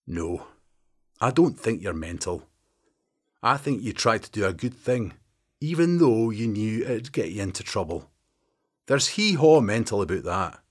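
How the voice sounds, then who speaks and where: A man's voice: lowish voice, a little slow, slightly rough, exaggerated voice. A man doing a wry Scottish detective. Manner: wry, teasing, deadpan. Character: dry-humoured veteran male detective past forty.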